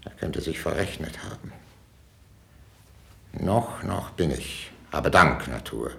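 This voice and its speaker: A deep-voiced, wrathful ageing king. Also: expressive, slow pace, gravelly, fairly bright tone, heavily acted. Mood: brooding, grim. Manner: declamatory, imperious. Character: bitter, wounded, vengeful ageing king. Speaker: actor in a radio drama. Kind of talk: classical 1950s German stage-style radio acting.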